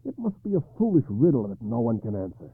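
A man's voice: mid-range voice, wildly swooping pitch, a little fast, slightly rough, no echo, voice pushed up, heavily acted. A man voicing an anxious, proud medieval king who stammers and pleads. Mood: worried. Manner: pleading, rising to frantic. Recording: dry, phone-like narrow sound.

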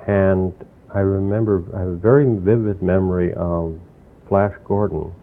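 A man's voice: low-pitched, fairly even intonation, normal speed. An older man from New York, recalling his childhood in a rambling, reflective way during an oral history interview. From New York, USA. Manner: rambling, reflective storytelling with restarts. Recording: tinny phone-like lo-fi recording with faint hiss.

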